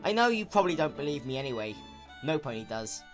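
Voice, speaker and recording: A woman's voice: deep voice, sing-song, quick pace, over music, voice pushed up. A woman voicing a glum, worried young male dragon, hesitant and sullen, in a dramatic fan-fiction reading.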